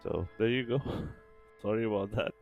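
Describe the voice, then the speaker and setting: A man's voice: fairly low pitch, moderate pace, vocal fry, phone-quality sound, soft background music. A man hosting a gaming channel who makes a casual aside to viewers and laughs at himself.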